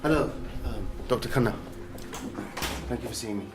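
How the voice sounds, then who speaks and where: An actor playing a polite but dogged documentary journalist with a halting manner, in a naturalistic radio drama. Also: male voice, medium pitch, music in the background, natural voice. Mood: polite, hesitant. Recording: noisy background ambience.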